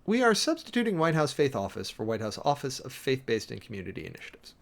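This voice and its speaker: Male livestream host, medium pitch, highly animated. Theatrical reader and analyst, draws words out for emphasis, dryly sarcastic. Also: gravelly. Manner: theatrical, emphatic, with drawn-out words and sudden swells. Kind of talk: dramatic reading with close analytical commentary to a live chat.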